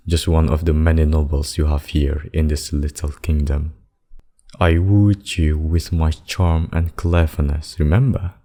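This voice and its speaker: Man playing a deep, slow-talking smug demon king. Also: warm tone, exaggerated voice. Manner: theatrical, smug, slow wooing menace. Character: possessive, smug, courtly male demon king. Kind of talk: roleplay ASMR acting.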